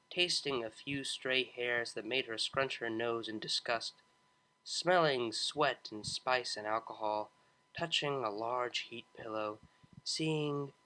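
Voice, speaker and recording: Bright, expressive man reading a story. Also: mid-range voice, low-quality recording. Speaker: audiobook narrator. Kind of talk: expressive scripted narration of fan fiction.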